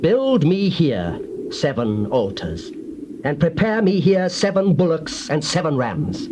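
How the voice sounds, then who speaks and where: An actor playing a solemn, defiant prophet in a dramatized audio Bible, declaiming grand oracles like incantations. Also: male voice, a little high, big pitch swings, slow pace. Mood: firm. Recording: hissy old cassette tape.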